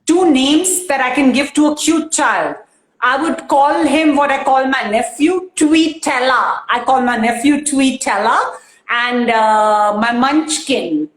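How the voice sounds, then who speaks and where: A woman's voice: high-pitched, slow pace, echoey room, low-quality recording. A woman guest chatting in an interview, emotional and bubbly, with lots of fillers. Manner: emotional and bubbly, laughs often, many fillers. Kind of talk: casual interview chat.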